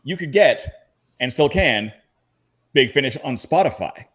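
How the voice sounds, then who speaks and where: A man's voice: mid-range voice, lively intonation, measured pace, a bit bright. Male panelist from Canada, mid-range voice, casual anecdotal fan talk.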